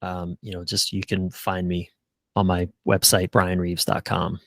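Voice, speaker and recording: A man's voice: low-pitched, flat delivery, a little slow, gravelly, dry recording, studio quality. A middle-aged man giving earnest, casual answers as a relationship coach in a conversational interview. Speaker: relationship coach, podcast guest.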